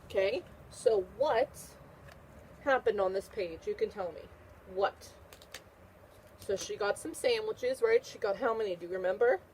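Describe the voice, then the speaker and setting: A woman's voice: mid-range voice, unhurried, a little mellow, a little hiss or hum, rough recording. A woman teacher reading a picture book aloud to young children, repeating questions and answers in an encouraging way. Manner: encouraging, praising the listener.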